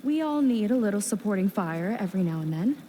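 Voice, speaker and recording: A woman's voice: mid-range voice, lively intonation, brisk, warm tone, a little hiss or hum, close to an everyday voice, poor audio. Woman as earnest young warrior companion. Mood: admiring, persuasive. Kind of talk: video game voice acting.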